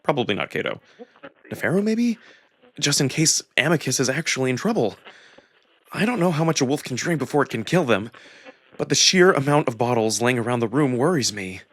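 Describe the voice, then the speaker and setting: A man's voice: medium pitch, lively intonation, fairly quick. Man narrating a story over background noise. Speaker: narrator and voice performer. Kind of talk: dramatic first-person story narration.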